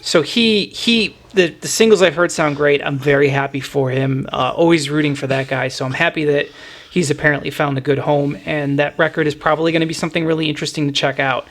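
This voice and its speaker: A man's voice: fairly high pitch, steady tone, a little fast, fairly bright tone. A man hosting a casual music discussion, talking in a rambling, opinionated way. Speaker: music podcast host.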